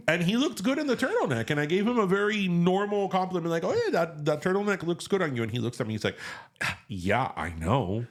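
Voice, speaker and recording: Animated Miami Cuban-American man, teasing chat. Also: high voice, a little fast. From Miami, USA. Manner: animated teasing banter, sprinkles in Spanish words. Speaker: podcast co-host. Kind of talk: casual chat.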